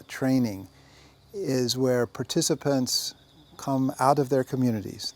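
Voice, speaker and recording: A man's voice: mid-range voice, a little mellow. A man speaking in a documentary interview as a member of staff at a training institute.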